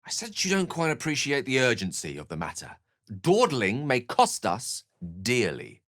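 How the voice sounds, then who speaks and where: A man's voice: medium pitch, huge pitch swings, moderate pace, fairly bright tone, no room sound, clean studio sound. A man voicing a courteous, self-assured wizard companion in a video game, articulate and wryly theatrical. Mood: grave. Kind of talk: video game character voice lines.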